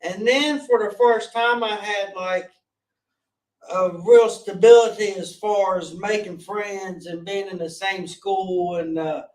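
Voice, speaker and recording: A forty-year-old man from North Carolina with a loud voice, telling his life story as a podcast guest in an earnest, rambling way with restarts. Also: high voice, steady tone, slow, far from the mic. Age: 40s. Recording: roomy, off-mic sound.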